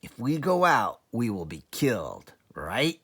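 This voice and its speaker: A man acts out a fantastic storybook fox, a grinning leader rallying his friends. He speaks in theatrical, emphatic phrases, with pitch that swings high and low. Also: huge pitch swings, measured pace, a bit bright, breathy edge, exaggerated voice. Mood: grand, hearty. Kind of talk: children's storybook read-aloud.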